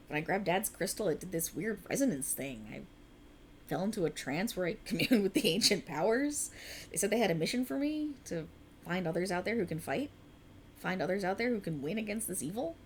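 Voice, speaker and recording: A woman voicing a young alien woman in an ASMR roleplay, an earnest, teasing friend hiding as a human, who swears bluntly and talks softly and close. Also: on the low side, slight background noise. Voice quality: soft, intimate and lively, with blunt teasing and swearing.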